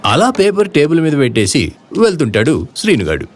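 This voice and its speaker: A man's voice: on the high side, wildly swooping pitch, fairly quick. A man narrating a comedy audio drama as a wry, chummy storyteller, teasing and speaking straight to the listener over music. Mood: playful, teasing. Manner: conversational, teasing, addresses listeners directly. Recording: music loud behind the voice.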